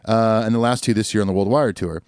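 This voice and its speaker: A man co-hosting a casual podcast, easygoing and quick to agree, reading out listener emails. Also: on the low side, a little mellow, dry recording, studio quality. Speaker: podcast co-host.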